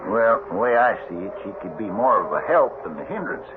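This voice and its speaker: An actor playing a gruff but decent middle-aged trail boss in an old radio western, courteous and halting, with a deep gravelly voice. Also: male voice, very animated. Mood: solemn, reasoning. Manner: hesitant, halting, formal courtesy. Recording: muffled, noisy old radio broadcast.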